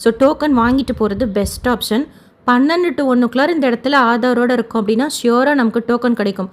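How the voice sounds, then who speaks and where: High-voiced woman giving updates. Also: fast. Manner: informative, mixes English words into speech. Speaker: channel host giving pilgrimage updates. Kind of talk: informal news update narration.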